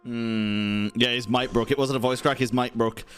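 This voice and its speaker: A man's voice: mid-range voice, fairly even intonation, normal speed, a bit bright. A young man doing casual, joking game stream commentary, slangy and sweary. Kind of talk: casual live reaction commentary. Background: game music.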